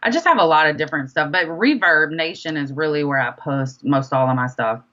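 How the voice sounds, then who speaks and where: A woman's voice: low-pitched, lively intonation, fairly quick, bright, forceful tone, highs cut off. A woman chatting casually as an interview guest, with an informal, slangy, enthusiastic manner. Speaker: interview guest, independent rapper.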